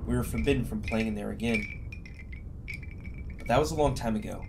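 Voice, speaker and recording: A man's voice: fairly low pitch, a little fast, vocal fry, background noise. A man playing an adventurous, earnest young crewman in a science fiction audio drama, talking in a casual way. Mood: regretful. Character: adventurous young spaceship crewman, earnest persuader with a haunted past. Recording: music playing under the dialogue.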